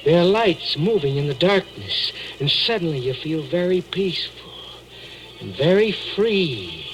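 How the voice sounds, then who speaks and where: An actor playing the vengeful ghost of a drowned man, taunting and deliberate in 1940s radio horror style. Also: fairly high pitch, big pitch swings, soft background music, rough recording. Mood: eerie calm. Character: vengeful drowned male ghost, calmly menacing. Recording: vintage 1940s radio recording.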